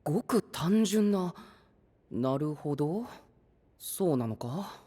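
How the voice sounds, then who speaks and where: Gallant, melodic young knight. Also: male voice, on the high side, expressive, takes it slowly, mellow. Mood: doubtful. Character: gallant, concerned young knight who sprinkles in English. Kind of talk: Japanese anime voice drama acting.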